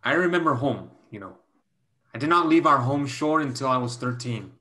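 Solemn protective guardian, mid-pitched man acting. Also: animated, moderate pace, bright, forceful tone. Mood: solemn, insistent. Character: stern protective Chinese man, older guardian of a girl. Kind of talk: staged play reading. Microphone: video call.

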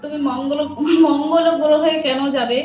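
A woman with a high voice hosts a casual livestream. She talks in a familiar, chatty way, repeating phrases as she responds to viewers. The sound is roomy and dull, with clear background noise. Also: steady tone, moderate pace. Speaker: livestream host. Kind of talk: casual live chat, reading and answering viewer comments.

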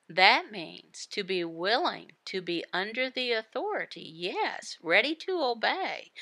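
Slow, bright-voiced woman teaching scripture. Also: on the low side, no echo. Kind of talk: Bible teaching, voiced devotional.

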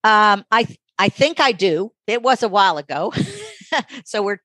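A mature woman speaking as an interview guest, thoughtful and conversational, halting and reflective as she pauses mid-sentence. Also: medium pitch, lively intonation, a bit bright, no echo. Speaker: interview guest, audiobook magazine editor.

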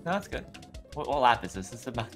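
Young male co-player, highly animated casual chat, music faintly underneath. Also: quick pace. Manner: short, repeated phrases. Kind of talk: casual chat while gaming.

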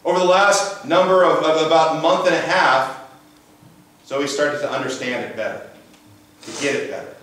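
A man's voice: fairly high pitch, normal speed, long pauses, a bit bright. A middle-aged man with a big voice, preaching a conversational sermon in a church sanctuary and asking rhetorical questions, recorded by a camera at a distance. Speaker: pastor. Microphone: far camera, room mic. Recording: echoey church sanctuary, mic from afar.

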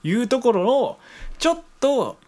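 A man's voice: very high voice, sing-song, measured pace, warm tone. A young man with a Kansai accent co-hosting an internet radio show, bantering casually into a studio mic. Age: young adult. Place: small indoor room studio. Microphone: studio mic on stand, headphones.

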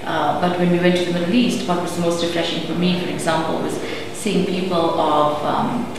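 Measured woman, roomy echo. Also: lowish voice, level tone. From South Africa. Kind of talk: interview answers. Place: room indoors. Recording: echoey room, distant mic, hiss.

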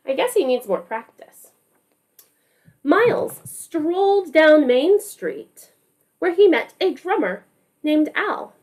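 A woman reads a picture book to young children with a high voice and big swings of pitch. She is expressive and affectionate, teaching over a video call, and takes her time as she draws the children in with questions. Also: slow. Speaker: music teacher reading a picture book aloud. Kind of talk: animated read-aloud to young children on a group video call.

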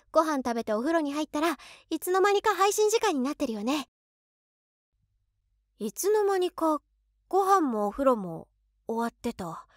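An actress playing an overworked, excitable office woman in her twenties who is a devoted fan, musing aloud expressively in a voice drama. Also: very high voice, no room sound, studio quality, heavily acted. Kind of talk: Japanese situation voice drama monologue.

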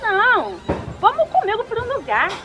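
Woman acting as eager village churchgoer, Brazilian accent, very high animated voice, folksy, teasing, radio soap. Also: normal speed, over music, exaggerated voice. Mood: chatty, eager.

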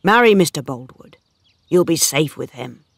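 A woman voicing an earnest, worried young male farm worker in love, calm, sad and sincere, as storybook dialogue. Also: low-pitched, a little slow, dry recording, clean studio sound. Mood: sad, pleading.

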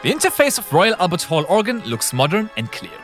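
Young male narrator for a scripted review: high register, extremely lively melody, careful phrasing. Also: brisk. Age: young adult. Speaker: product reviewer. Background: music behind the voice.

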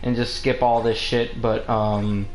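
A man's voice: mid-range voice, level tone, measured pace, over a noisy crowd. A man streaming a video game, chatting casually as he plays. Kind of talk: casual live-stream chat while gaming. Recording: roomy room sound, game music behind. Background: video game music.

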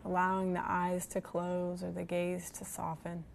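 A woman guiding a body scan meditation in a gentle, soothing manner, leaving long pauses between phrases. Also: fairly low pitch, completely flat delivery, vocal fry, a little hiss or hum. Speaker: meditation guide.

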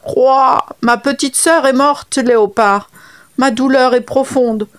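A woman voicing a sly female frog who croaks and wails to dodge her debts. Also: sits high, steady tone, slow pace, voice pushed up. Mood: mournful. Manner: croaking, mournful pleading. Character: wailing female frog debtor, sly and evasive.

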